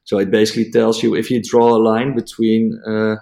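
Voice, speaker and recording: A man with a Dutch accent, a native Dutch speaker, explaining a technical topic as an interview guest, halting with many fillers and restarts. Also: on the low side, monotone, vocal fry, mellow. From Netherlands.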